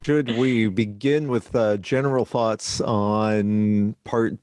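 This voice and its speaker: A man co-hosting a podcast, giving a measured review with dry humor. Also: on the low side, fairly even intonation, darkish tone, no echo. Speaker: podcast co-host.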